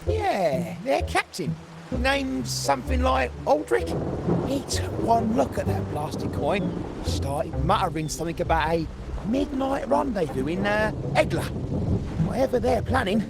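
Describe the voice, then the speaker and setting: Shifty, very high-pitched thief. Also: male voice, sing-song, measured pace, gravelly, very noisy, heavily acted. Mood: sullen. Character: shifty, grumbling male thief, petty and cowardly. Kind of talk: video game voice acting.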